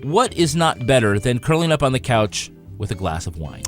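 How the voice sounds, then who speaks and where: A man's voice: medium pitch, big pitch swings, normal speed, faint music behind. A man co-hosting a podcast, talking in a casual, conversational and informal way. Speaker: podcast co-host. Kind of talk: casual panel review and host-read ad banter.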